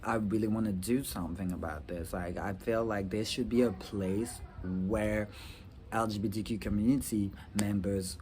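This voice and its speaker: A young man from Rwanda talking earnestly about his future plans in a personal vlog, with halting restarts and many fillers. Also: on the low side, fairly even intonation, a little mellow. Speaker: vlogger. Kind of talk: personal reflective talk to camera.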